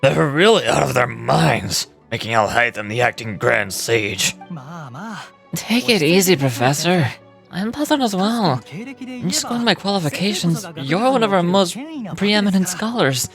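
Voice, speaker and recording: A man's voice: on the high side, animated, a little slow, vocal fry, a bit bright, faint music behind, full character voice. A man playing a fussy, formal scholar in theatrical video game dialogue. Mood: indignant.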